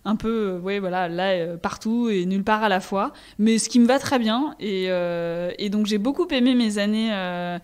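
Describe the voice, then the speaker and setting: A young woman with a French accent speaks as a guest in a casual interview. Her voice sits at a middle pitch. She is candid and conversational, often pausing to find the right word. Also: darkish tone, clear, resonant tone. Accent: French from France. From France. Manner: hesitant, searching for words, candid.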